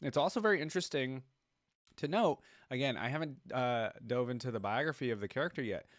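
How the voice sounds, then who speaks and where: A man's voice: mid-range voice, quick pace, no echo, clean studio sound. A man giving casual, curious reaction commentary as the host of a video, often interjecting short remarks.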